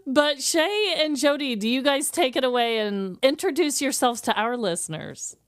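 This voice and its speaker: Smooth, clear American woman co-host. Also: high voice, expressive, moderate pace, no echo, clean studio sound. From Midwestern United States. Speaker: podcast co-host. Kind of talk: casual podcast chat.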